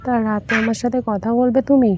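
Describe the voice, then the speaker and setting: A woman talks quickly in a fairly high voice. She is bold and teasing, flirting her way through a prank call, with clear outdoor noise around her. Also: fairly even intonation. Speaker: prank caller. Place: open field outdoors. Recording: noisy outdoor recording.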